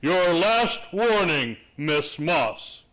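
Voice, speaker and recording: An actor playing a stern, patronizing man of authority in a radio play, deliberate and admonishing. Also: fairly high pitch, big pitch swings, a bit bright, full character voice, low-quality recording. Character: stern, patronizing male authority figure giving warnings.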